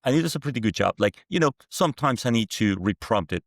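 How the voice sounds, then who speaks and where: A man in his forties from Mexico City with a Mexican Spanish accent, a non-native English speaker, answering interview questions in a halting way with many restarts. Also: mid-range voice, expressive, gravelly, no room sound, studio quality. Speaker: guest founder. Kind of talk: conversational interview.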